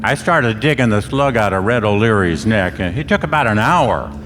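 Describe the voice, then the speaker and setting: An actor playing a cool, tough private detective in a stage radio play, wry and quick-witted with clipped delivery. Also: male voice, lowish voice, lively intonation, noisy background, natural voice. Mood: narrating. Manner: hard-boiled, clipped orders, wry. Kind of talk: radio play with first-person narration. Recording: echoey stage hall recording.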